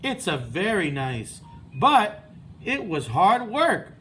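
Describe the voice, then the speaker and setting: Storybook frog, male, acted by a man reading aloud: sensible, helpful, high and very lively in pitch, unhurried. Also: a little hiss or hum, exaggerated voice. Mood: reassuring.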